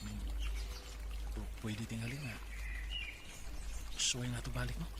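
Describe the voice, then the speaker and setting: A man's voice: medium pitch, steady tone, measured pace, natural voice. A man with a Filipino Cebuano accent acting an earnest, unlucky-in-love bachelor suitor in a radio drama. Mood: tender, hesitant. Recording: very noisy radio drama with ambience.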